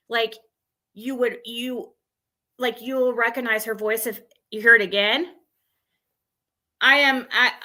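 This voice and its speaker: A woman hosting a livestream, talking casually and haltingly, thinking aloud as she rambles through asides. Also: fairly high pitch, sharp tone. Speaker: livestream panel host.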